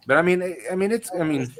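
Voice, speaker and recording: A man in his forties hosting a casual livestream chat, telling rambling, self-deprecating stories over a call mic. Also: high-pitched. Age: 40s. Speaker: host.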